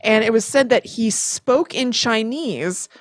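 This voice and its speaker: A woman's voice: on the high side, unhurried, dry recording. Chatty woman, casual podcast storyteller, dry close sound. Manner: chatty, self-correcting. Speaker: podcast co-host.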